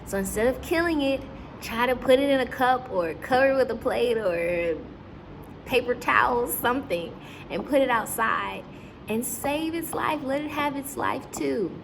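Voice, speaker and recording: Female storytime teacher, fairly high voice with lively swings, talks to kids as friends and asks them questions; faint noise behind. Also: normal speed, vocal fry. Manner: addresses young listeners as friends, asks questions and explains. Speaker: teacher reading stories to children. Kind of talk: children's read-aloud with asides to the listeners.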